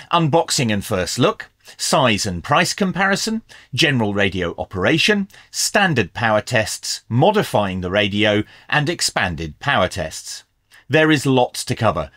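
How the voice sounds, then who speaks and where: Middle-aged man with lively, swooping intonation. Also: medium pitch, unhurried, no echo, clean studio sound. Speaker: YouTube host reviewing radio gear. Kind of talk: scripted product review with hands-on commentary. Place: small indoor electronics workshop.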